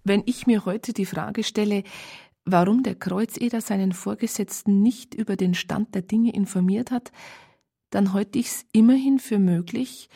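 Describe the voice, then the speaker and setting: An actress playing a reflective Bavarian woman who narrates a case in a radio drama, husky and measured. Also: mid-range voice, warm tone. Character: reflective female Bavarian practitioner recounting a case.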